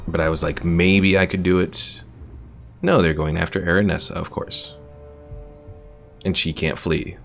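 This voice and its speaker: A man's voice: deep voice, steady tone, a little slow, gravelly, pauses often, soft background music. A man streaming a strategy game, muttering, cursing at it and reading chat aloud. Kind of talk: casual gameplay commentary.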